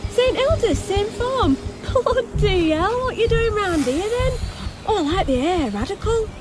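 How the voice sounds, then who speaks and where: A brash, easily offended woman in a radio drama. Her voice is very high and swings widely in pitch. She speaks in blunt, colloquial exclamations, with music clearly behind her. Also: expressive, a little slow. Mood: brash surprise. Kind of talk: naturalistic radio drama acting.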